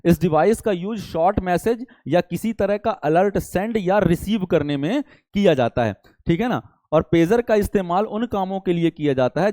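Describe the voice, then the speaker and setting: A man's voice: sits high, mellow, no room sound. A man teaching a current affairs lesson in a didactic way, often checking in and posing rhetorical questions. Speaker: teacher for competitive exam students.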